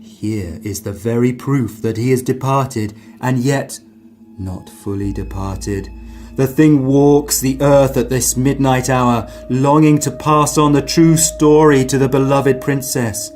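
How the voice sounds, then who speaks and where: A man's voice: mid-range voice, measured pace, darkish tone, a little hiss or hum, lightly acted. A man playing a brainy, confident palace guard in a children's story, with eloquent, mock-Shakespearean delivery. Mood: grave, eloquent.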